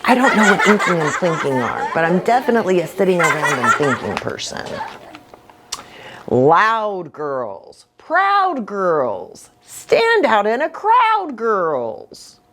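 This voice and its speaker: A woman hosts storytime for young children with hugely swooping, animated intonation. She takes her time, asking questions and adding asides as she reads aloud. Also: fairly low pitch, takes it slowly, very noisy. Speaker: children's ministry host and pastor.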